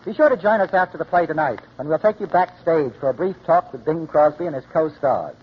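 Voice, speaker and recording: Slightly high male vintage radio narrator. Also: fairly quick, a little hiss or hum. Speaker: radio drama host and narrator. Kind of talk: 1940s scripted radio narration. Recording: dull old radio recording, music behind.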